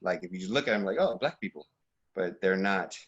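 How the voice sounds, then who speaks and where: Low-voiced man, relaxed and reflective. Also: fairly quick, slightly rough. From United States. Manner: relaxed, reflective, many fillers and restarts. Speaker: podcast guest. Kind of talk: casual conversation. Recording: dull lo-fi sound.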